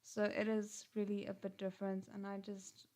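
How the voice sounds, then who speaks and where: A woman's voice: medium pitch, completely flat delivery, normal speed, dark tone. A woman with a South African accent chatting casually on a livestream, rambling with frequent restarts and fillers. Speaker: channel host.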